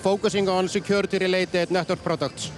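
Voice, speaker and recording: A man from Iceland, a network engineer, answering interview questions in a halting, hesitant way. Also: sits high, flat delivery.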